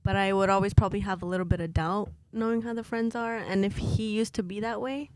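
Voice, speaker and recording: A woman's voice: mid-range voice, steady tone, fast, darkish tone, dry recording. A woman co-hosting a podcast, hesitant at times and playfully teasing in casual chat. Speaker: podcast co-host.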